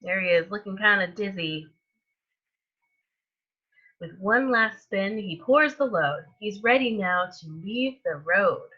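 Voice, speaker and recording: A woman reading a picture book aloud to young children as a storytime host, in an expressive manner that stretches out words and softens endings. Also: medium pitch, breathy edge, muffled recording. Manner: animated, with drawn-out words and hushed endings.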